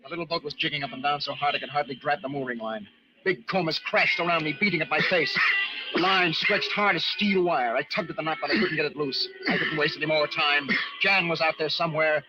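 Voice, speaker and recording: Desperate lighthouse keeper , male actor, high intense voice, dramatic storytelling, muffled vintage radio sound with music behind. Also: fairly quick, natural voice. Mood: tense, urgent. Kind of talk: old-time radio drama acting.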